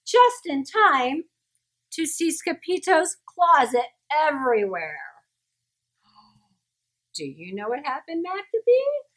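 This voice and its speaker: Very high-pitched woman reading stories, unhurried. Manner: expressive, dramatic, asks listeners questions. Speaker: storyteller reading aloud to children. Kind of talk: animated children's story read-aloud.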